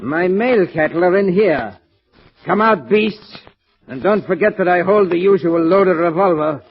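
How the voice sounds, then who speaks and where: An actor playing a sadistic, megalomaniac scientist villain in a pompous, theatrical 1940s radio thriller style. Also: male voice, high-pitched, normal speed, close to an everyday voice. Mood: cruel, commanding. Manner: pompous, theatrical, relishing menace. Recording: dull lo-fi old-time radio recording.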